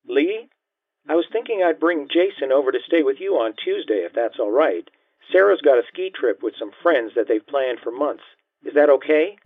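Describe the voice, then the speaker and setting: A man voicing a hesitant, gently polite estranged wife in audiobook dialogue, heard through a telephone filter. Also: a little high, expressive, no room sound, pitched above the performer's own voice. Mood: subdued, tentative. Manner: halting, unsure, gently polite. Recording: thin, band-limited phone-line sound.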